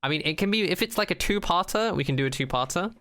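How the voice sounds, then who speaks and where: A man with a bright, clean voice at an ordinary pitch chats casually as a podcast co-host. He is skeptical and opinionated, and he often doubles back to reword his point. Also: animated, fast. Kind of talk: casual unscripted podcast chat.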